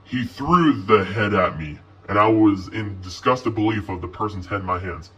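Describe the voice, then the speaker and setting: A man telling a scripted horror story in an expressive, first-person narration. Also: lowish voice, normal speed. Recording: voice distant from mic.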